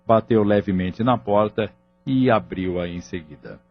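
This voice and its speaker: A man with a Brazilian accent reading scripted narration for an audio drama, with a husky voice. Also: fairly low pitch, unhurried, lo-fi sound, a little hiss or hum.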